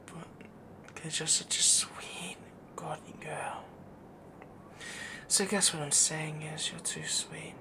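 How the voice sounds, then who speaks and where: A man playing a doting, possessive yandere werewolf boyfriend in an intimate roleplay audio, soft-spoken, whispery and adoring. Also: on the high side, flat delivery, takes it slowly. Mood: adoring, tender.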